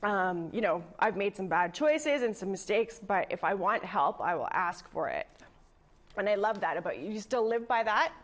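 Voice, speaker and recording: Hoarse-throated woman host, conversational interview tone. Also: medium pitch, animated, normal speed. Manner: conversational, encouraging. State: scratchy throat, coughing. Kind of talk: interview on a talk show.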